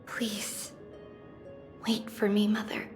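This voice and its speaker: A woman playing an earnest, determined young daughter in a game, soft and solemn. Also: medium pitch, completely flat delivery, slow, faint music behind, lightly acted. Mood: solemn, yearning. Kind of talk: dramatic game voice acting.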